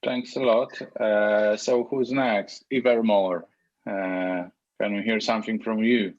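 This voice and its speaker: A man from Poland hosting a video call meetup, calmly calling on each person to speak in turn. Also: on the low side, slow pace, dull audio. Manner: relaxed, inviting speakers in turn. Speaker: meetup host.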